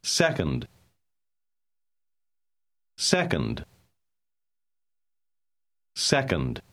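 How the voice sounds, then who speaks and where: Male drill voice, extremely slow, pitch swinging widely. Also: huge pitch swings, creaky, a bit bright. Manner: deliberate, syllable-by-syllable. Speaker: course dialogue speaker. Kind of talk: drilled word repetitions.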